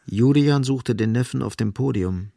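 An actor as the grave, ironic narrator of a radio play, delivering literary prose in a measured, detached manner. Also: male voice, mid-range voice, gravelly, dark tone, no echo, clean studio sound.

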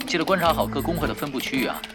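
A man's voice: on the high side, lively intonation, very fast, lightly acted. A man playing a laid-back, sly young gamer who teases and schemes in a nonchalant, wry way in an audio drama. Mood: cool, scheming. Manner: nonchalant, wry, dry teasing. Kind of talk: Mandarin audio drama acting, conversational banter. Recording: studio drama with music underneath.

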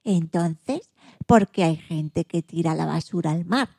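A woman's voice: fairly low pitch, measured pace, gravelly, mellow, husky, dry recording, studio quality, full character voice. A woman voicing an earnest young boy in a children's storybook, thinking aloud in a pensive, questioning way. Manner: pensive, questioning, talking to himself. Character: earnest young boy who loves the sea.

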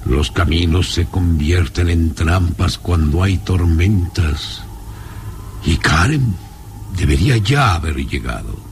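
An actor playing a brooding, worried baron in a vintage radio drama, with an ominous, dramatic delivery. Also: male voice, sits low, animated, slow, a little hiss or hum, heavily acted. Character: brooding, worried old male baron in gloomy castle.

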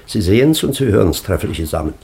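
An actor playing a pompous, easily outraged baron in a German radio play, blustering and exasperated. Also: male voice, deep voice, sing-song, fast talker, gravelly, darkish tone, breathy edge, full character voice. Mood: measured.

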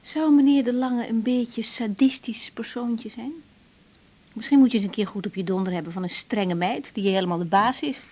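Husky actress as a tender, guarded young woman. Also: on the high side, lightly acted. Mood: wry, teasing. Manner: hesitant, soft, halting. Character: young female doctor, tender, guarded, hesitant lover. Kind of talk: naturalistic 1980s radio drama acting. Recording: slightly dull old radio recording.

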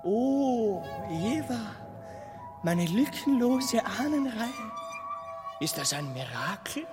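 A woman's voice: a little high, very animated, slow, music in the background. A woman playing a melodramatic fourteen-year-old orphan avenger, declaiming in mock-tragic style in a radio melodrama. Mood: tragic awe. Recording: long reverb, faint noise.

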